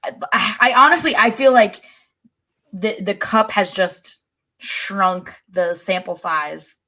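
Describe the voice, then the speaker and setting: A woman's voice: medium pitch, slow pace, sharp tone. A woman co-hosting a podcast, talking informally about sports and repeating herself for emphasis. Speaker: podcast co-host. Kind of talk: casual sports chat.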